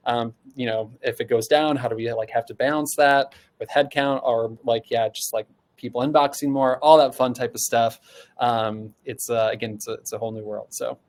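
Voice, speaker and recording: A man's voice: medium pitch, fairly even intonation, moderate pace, darkish tone. A man speaking as an expert guest in a casual webinar discussion, informal and conversational, often posing questions as he thinks. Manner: informal, conversational, poses rhetorical questions, frequent "like". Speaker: guest panelist, customer support expert at a software company.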